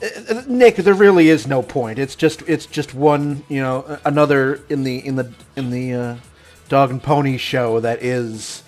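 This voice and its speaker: A man hosting a casual gaming podcast panel who laughs often and speaks haltingly as he hands turns to others. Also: medium pitch, a little mellow, soft background music.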